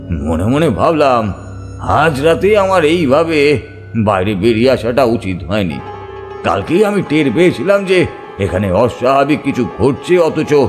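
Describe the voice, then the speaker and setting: Male horror storyteller with swooping, dramatic intonation. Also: medium pitch. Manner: suspenseful, theatrical phrasing. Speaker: story narrator. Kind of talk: dramatic first-person horror audiobook narration. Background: faint music.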